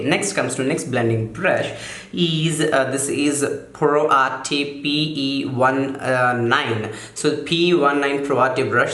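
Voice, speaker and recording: A man calmly walking through makeup brushes in a casual vlog, softening his voice on purpose and often stopping to correct himself with fillers. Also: medium pitch, roomy sound. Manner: halting, many fillers and self-corrections; deliberately softened, soothing delivery. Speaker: makeup vlogger. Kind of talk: casual product walkthrough.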